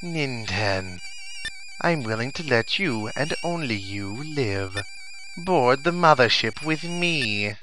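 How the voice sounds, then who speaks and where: A man's voice: medium pitch, expressive, slow pace. A man voicing a contemptuous alien overlord from a video game, declaiming in a commanding, menacing way. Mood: scornful menace. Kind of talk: reading video game dialogue in character. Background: video game music and sound effects.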